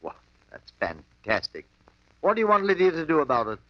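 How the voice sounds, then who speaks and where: An actor playing a haughty, impatient wealthy guardian in a 1950s radio drama. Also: male voice, fairly high pitch, huge pitch swings, a little fast, dry recording. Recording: dull lo-fi 1950s radio broadcast.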